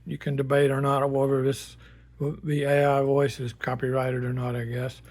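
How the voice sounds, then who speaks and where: A man giving a casual, unscripted demonstration as a hobbyist builder, plainspoken and matter-of-fact, with a halting delivery. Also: medium pitch, completely flat delivery, a little mellow. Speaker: hobbyist builder presenting a project.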